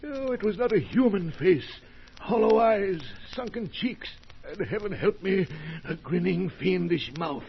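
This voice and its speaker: An actor playing a frightened, injured crash survivor in an old-time radio mystery, halting and melodramatic in his anguish. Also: high-pitched, animated, gravelly, full character voice. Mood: horrified. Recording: muffled, noisy old radio recording.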